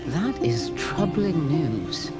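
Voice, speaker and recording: An actress playing a composed, resolute adventurer hero in a video game, serious and measured. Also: female voice, sits low, very animated, full character voice. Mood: resolute, calm. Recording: loud music behind.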